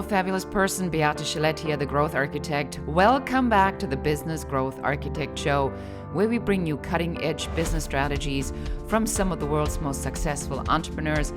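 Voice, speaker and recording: A woman with a low-pitched voice hosts a podcast, with some music in the recording. She phrases things deliberately and speaks directly to her listener or guest. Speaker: podcast host. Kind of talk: podcast presenting and interviewing.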